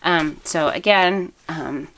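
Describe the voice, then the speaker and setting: Chatty woman, thirties, low-ish voice, slightly froggy from a cold, casual home vlog delivery. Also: slow, a bit bright, poor audio. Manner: chatty, many ums, small laughs. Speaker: family vlogger, mother of eight. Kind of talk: casual chat showing gift ideas. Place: home, indoors.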